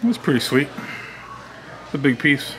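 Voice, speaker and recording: A man's voice: mid-range voice, very animated, normal speed, noisy background. A man in his thirties with a Cuban accent, chatting casually and joking as he browses a toy store while filming with a handheld camera. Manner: laid-back, joking, mumbles asides. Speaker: toy-collecting vlogger. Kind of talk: casual vlog chat. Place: large toy store, indoors. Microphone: handheld camera held close. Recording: busy store chatter behind.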